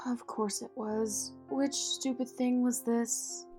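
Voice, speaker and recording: A woman acting in an audio drama as a poised, protective, wealthy mother and executive, measured, polished and dryly witty. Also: fairly high pitch, steady tone, darkish tone. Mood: guarded, weary. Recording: music behind the voice.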